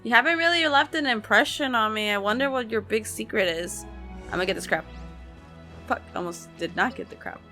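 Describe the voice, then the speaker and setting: A woman's voice: a little high, expressive, fairly quick, sharp tone, faint music behind. A woman streaming a video game with casual, blunt, slangy commentary and plenty of swearing.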